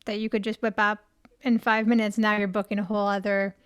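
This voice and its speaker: A woman's voice: mid-range voice, level tone, fairly quick, smooth, no room sound, highs cut off. A woman hosting an interview over a video call, chatty and conversational, with plenty of restarts and fillers. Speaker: host, interview moderator. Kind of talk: casual interview chat.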